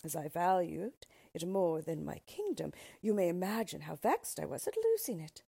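A woman's voice: on the low side, gravelly, dark tone, breathy edge, no echo, heavily acted. A woman voicing a proud, stubborn young princess who gives haughty commands.

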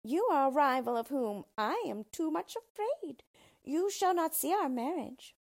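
A woman's voice: high-pitched, lively intonation, a little slow, pitched above the performer's own voice. A woman voicing a wicked little male dwarf, a jealous storybook villain who gloats imperiously. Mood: spiteful.

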